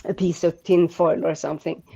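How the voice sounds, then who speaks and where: A woman from Sweden with a Swedish accent, speaking English as a second language in a casual online group chat, halting and rambling with many restarts and fillers. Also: lowish voice, fairly even intonation, gravelly, dull audio, crowd noise.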